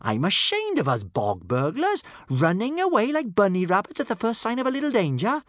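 A man's voice: high-pitched, wildly swooping pitch, fairly quick, fairly bright tone, no room sound, studio quality, pitched above the performer's own voice, heavily acted. A man voicing a fearless young Viking girl, bold and breezy, in a children's audiobook. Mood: brisk, scornful.

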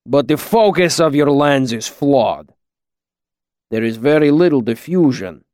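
A man voicing a huge, shaggy master artificer in an audiobook, stern and exacting, speaking slowly and formally with grave deliberation. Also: mid-range voice, lively intonation, a little mellow, no room sound, studio quality, close to an everyday voice. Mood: measured appraisal. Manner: slow, grave, formal, oddly ordered phrasing. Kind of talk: audiobook character dialogue.

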